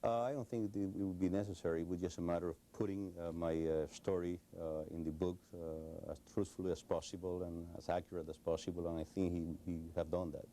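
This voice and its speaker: A middle-aged man speaks in English with a Cuban Spanish accent. His voice is very deep, dark and mellow, and it stays close to a flat, even pitch. He pauses often and restarts his sentences, and there is a faint hiss behind him. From Cuba. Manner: hesitant, many fillers and restarts. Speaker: interview guest. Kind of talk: recorded television interview.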